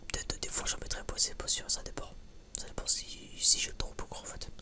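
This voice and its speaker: A man whispering a casual, rambling ASMR chat, informal and sweary, hesitant and often stammering over repeated words. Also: a little fast. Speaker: ASMR video creator.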